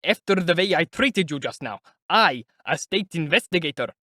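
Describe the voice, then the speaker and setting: A man's voice: fairly high pitch, animated, normal speed, sharp tone, no room sound, studio quality, voice pushed up. A man voicing a sly, sardonic middle-aged male investigator who talks in a chatty, teasing, politely mocking way. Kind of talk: audiobook character voice.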